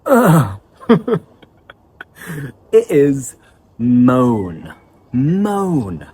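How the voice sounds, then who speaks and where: Lively man teaching English vocabulary. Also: medium pitch, drawn-out words, breathy edge. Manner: lively explanatory. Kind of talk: online vocabulary lesson.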